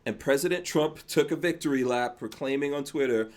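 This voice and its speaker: A man's voice: medium pitch, brisk. Male podcast host, easygoing and chatty, mid-range voice. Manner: relaxed, chatty, drifts into mumbled asides. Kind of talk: casual chat, reading viewer comments.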